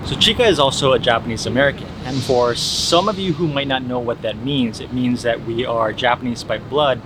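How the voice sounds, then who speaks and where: A young man from Hawaii hosting a casual conversation in an easygoing manner, laughing often. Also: medium pitch. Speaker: host. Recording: noisy background.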